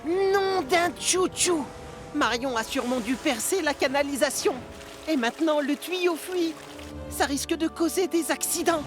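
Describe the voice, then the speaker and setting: A woman's voice: high voice, a little slow, over music. A woman voicing a friendly, earnest young male tank engine in a children's cartoon. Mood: worried. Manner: eager, earnest. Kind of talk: children's animated series dialogue.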